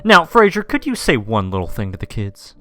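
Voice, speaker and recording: A man voicing a cheerful animatronic mole who hosts a kids' stage show in a peppy, booming way with a Mexican-American accent. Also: huge pitch swings, fairly quick, sharp tone, soft background music, exaggerated voice. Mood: coaxing.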